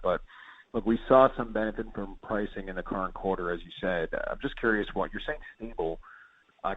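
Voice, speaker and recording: A man speaking as a financial analyst, putting questions in a conversational way on an earnings call. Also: sits low. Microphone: phone. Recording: tinny, narrowband phone line.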